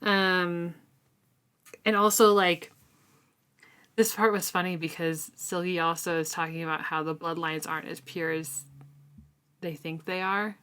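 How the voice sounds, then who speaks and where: Slow-talking woman with a lowish voice. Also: clear, resonant tone. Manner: chatty, relaxed, often says um and like. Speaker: book club podcast co-host. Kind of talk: casual book discussion.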